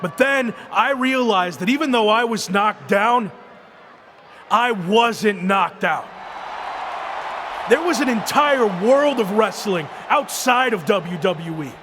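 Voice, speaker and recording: Casting: cocky game wrestler, high projected voice, slow emphatic promo delivery over arena crowd noise. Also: male voice, expressive. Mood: reflective, defiant. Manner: emphatic, deliberate arena speechmaking. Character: defiant returning pro wrestler, cocky and determined. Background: loud arena crowd ambience.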